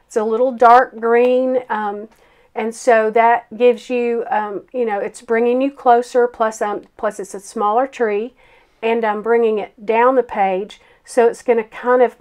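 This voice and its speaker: A woman's voice: fairly high pitch, darkish tone. A woman, a grandmother, chatting through a card-making tutorial with a froggy voice from a summer cold, full of ums and self-deprecating asides. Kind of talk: casual craft tutorial voice-over.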